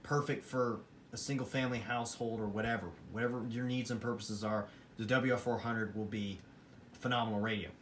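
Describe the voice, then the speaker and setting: A man's voice: on the low side, fairly even intonation, brisk, slight background noise. A man giving a casual, rambling product review in a matter-of-fact, opinionated way, as a hobbyist showing a collection. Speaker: hobbyist collector presenting weather radios.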